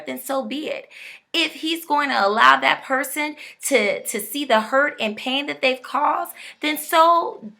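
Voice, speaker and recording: Young woman doing a preachy motivational talk to camera, bright punchy voice, kinda high. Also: creaky. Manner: preachy, emphatic, addresses viewers directly. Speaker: motivational speaker.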